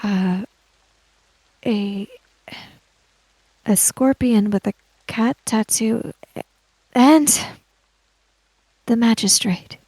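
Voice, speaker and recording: A woman playing an earnest, worried female samurai in a tabletop game, speaking in halting, formal phrases. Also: on the high side, a little mellow, husky, no room sound, full character voice, rough recording. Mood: shaken.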